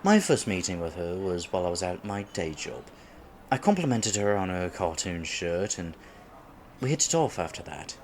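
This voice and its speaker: A man's voice: low voice, sing-song, normal speed, a little mellow, a little hiss or hum. A man acting in a roleplay audio drama as an awkward, geeky man on a blind date, stammering, flustered and self-deprecating. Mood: embarrassed, defensive.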